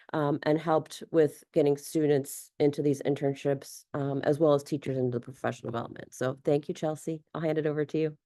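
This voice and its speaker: Low-voiced woman introducing a speaker. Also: warm tone. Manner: friendly, with filler pauses. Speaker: webinar moderator. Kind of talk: webinar introduction. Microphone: video call.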